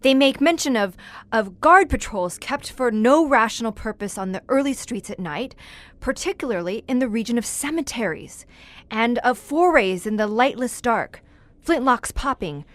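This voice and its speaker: An actress playing a composed, inquisitive questioner with a formal, literate manner in an audio horror drama. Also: female voice, a little high, animated, normal speed, lightly acted. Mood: grave, knowing. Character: composed, inquisitive woman questioning a monster hunter.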